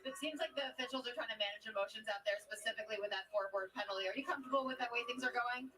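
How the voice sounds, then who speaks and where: A woman, a sideline reporter, putting quick interview questions to a player. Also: a little high, sharp tone. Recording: distant broadcast audio with arena noise.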